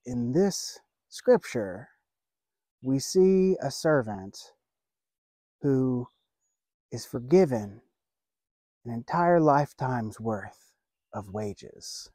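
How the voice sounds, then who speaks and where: A man in his thirties delivers an earnest outdoor sermon, seated by a lake, speaking slowly with long pauses. His voice is mellow and warm, caught close and dry on a lapel mic. He builds his points in measured phrases. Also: medium pitch, expressive, no echo. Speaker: pastor.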